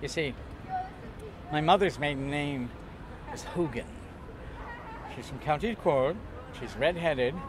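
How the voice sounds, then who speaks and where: Older guy, kinda high voice, jokey storyteller on a pier, street noise in the back. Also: slow, pauses often, crowd noise. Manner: chatty, theatrical, jokey with bursts of loud laughter. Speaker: interviewee. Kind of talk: casual storytelling. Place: outdoors on a waterfront pier. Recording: outdoor street noise behind.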